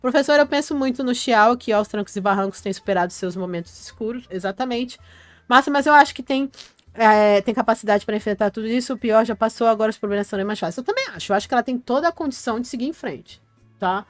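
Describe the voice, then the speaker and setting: A woman's voice: fairly high pitch, expressive, quick pace, dry recording. Chatty woman host answering live comments. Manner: chatty, reads comments aloud and answers them. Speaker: channel host reading viewer comments. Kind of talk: casual live-stream chat.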